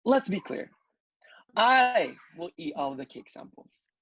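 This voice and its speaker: A man's voice: high voice, very animated, measured pace, fairly bright tone, husky, no echo, full character voice. A man playing a playful, flamboyant young party-goer in a comedy table read. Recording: muffled video call audio.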